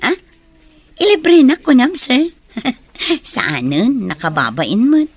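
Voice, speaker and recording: A woman's voice: fairly high pitch, huge pitch swings, takes it slowly, a little hiss or hum, heavily acted. A woman playing a friendly, loyal and chatty female teacher in an audio drama. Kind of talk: Ilocano audio drama acting.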